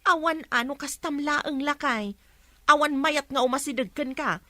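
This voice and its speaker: A woman's voice: high-pitched, moderate pace, bright, forceful tone, dry recording, studio quality. A Filipino woman acting in a radio drama as an exasperated rural wife who scolds and pleads to keep the peace. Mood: scolding.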